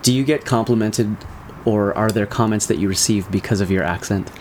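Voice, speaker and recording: A man's voice: lowish voice, a little fast, slight background noise. A man hosting a casual interview, friendly and loose, with slangy phrasing and frequent laughs. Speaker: podcast host.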